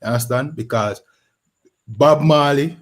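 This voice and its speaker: A man's voice: mid-range voice, unhurried. A man with a Jamaican accent hosting a podcast in a casual talk-show style, often saying "you know what I mean". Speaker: podcast host.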